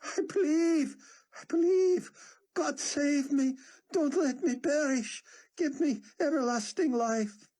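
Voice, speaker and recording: A man voicing a grown man overwhelmed with emotion, crying out high and excited. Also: slow, long pauses, slightly rough, heavily acted. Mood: sobbing pleas.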